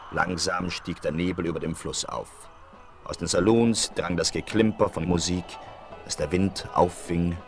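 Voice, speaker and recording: A man with a low voice narrates a western radio play. His delivery is scripted and dramatic, with faint music behind him on a vinyl rip.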